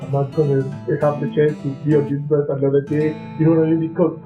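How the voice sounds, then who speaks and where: A man from Cameroon, telling his own story in a casual interview, halting and often checking in with the listener. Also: on the high side, little pitch movement. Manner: halting, leans on "you know what I mean". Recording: dull lo-fi sound with faint music.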